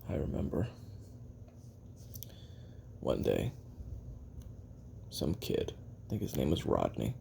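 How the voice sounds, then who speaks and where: A bitter, world-weary male assassin, played by a man in an audio drama confession. His low voice is full of gravel and swings widely as he broods and swears between heavy pauses. There is faint noise behind him. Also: wildly swooping pitch, warm tone, full character voice. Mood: brooding, reflective. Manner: brooding, profane, halting delivery with heavy pauses and sighs.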